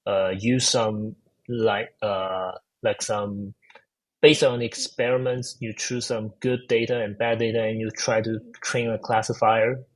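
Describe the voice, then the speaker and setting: Man, chinese accent, husky low voice, halting with fillers, interview guest. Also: little pitch movement, rough recording. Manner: hesitant, many fillers and restarts. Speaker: guest, AI researcher. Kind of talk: casual technical interview.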